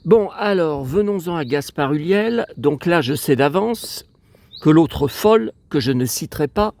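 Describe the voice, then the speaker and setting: A man's voice: a little high, lively intonation, unhurried, creaky, darkish tone. A man with a stuffy, sniffly nose from a cold, rambling in a digressive, aggrieved way as he vlogs outdoors. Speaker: vlogger. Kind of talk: casual rambling vlog chat. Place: outdoors in a cemetery.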